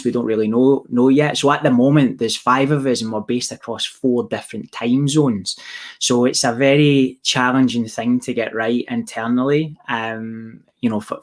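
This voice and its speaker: A young man from Scotland with a softened Glaswegian accent, answering questions about his business in a casual, rambling way full of restarts. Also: medium pitch. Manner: rambling, many restarts and fillers. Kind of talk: casual Q&A chat.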